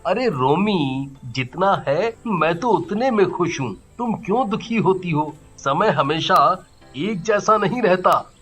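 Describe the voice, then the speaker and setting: An actor playing a loyal, contented husband in a cartoon story, with a patient manner. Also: male voice, high voice, expressive, takes it slowly, fairly bright tone, full character voice. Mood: patient, reassuring. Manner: patient, reassuring. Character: good-natured, contented, loyal husband, easily frightened. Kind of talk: Hindi cartoon story voice acting. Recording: background music.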